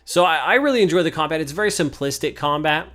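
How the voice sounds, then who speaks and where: A man's voice: on the high side, expressive, fast, fairly bright tone. A man giving casual, conversational gameplay commentary as the host of a video game series. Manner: informal, conversational. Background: game music.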